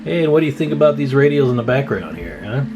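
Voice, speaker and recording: A man's voice: medium pitch, very animated, fast talker, over music. A man hosting a radio repair video, explaining hands-on work in a casual, folksy way and chatting informally to viewers.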